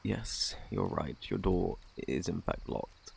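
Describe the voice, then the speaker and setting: A very deep, gravelly British male plague doctor acted by a man speaks softly, as in an ASMR roleplay. His phrasing is formal and fussy, with lively swoops in pitch and a faintly sinister politeness. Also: slow, full character voice. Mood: fussy, indignant. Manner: formal, fussy, self-assured. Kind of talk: ASMR roleplay, soft close speech.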